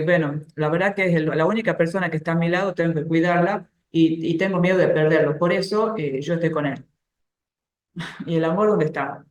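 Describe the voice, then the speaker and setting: A woman giving an informal faith talk, explaining ideas through everyday examples and soft laughs. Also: on the low side, reverberant.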